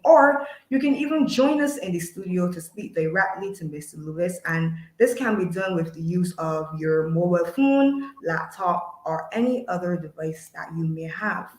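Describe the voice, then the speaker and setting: A woman hosting an interview show, welcoming her guest and inviting viewers' questions in a polite, formal, measured way. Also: fairly low pitch, reverberant, rough recording. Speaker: host. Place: studio.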